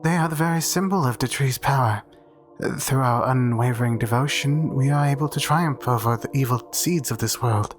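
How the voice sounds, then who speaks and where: A man's voice: medium pitch, normal speed, soft background music, lightly acted. A man playing a meek, devout and easily flustered cathedral priest in a roleplay audio drama, speaking formally and hesitantly. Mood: reverent.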